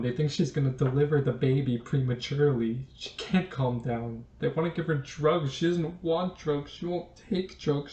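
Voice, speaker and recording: A man's voice: a little high, darkish tone, close to an everyday voice. Man acting a bitter, accusing man, echoey room. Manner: pointed, accusatory, halting for emphasis. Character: bitter, accusing man confronting a disgraced relative. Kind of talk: dramatic stage monologue.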